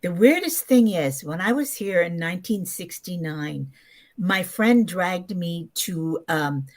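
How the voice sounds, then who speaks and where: A woman's voice: lowish voice, expressive, slow pace. A woman in her seventies telling stories casually as an interview guest, emphatic and often acting out what people said. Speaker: podcast guest, actress.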